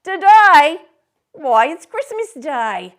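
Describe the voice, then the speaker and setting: A woman voicing a cheeky young boy who answers in brash, eager shouts in a storybook reading. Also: high voice, sing-song, takes it slowly, pitched above the performer's own voice, exaggerated voice.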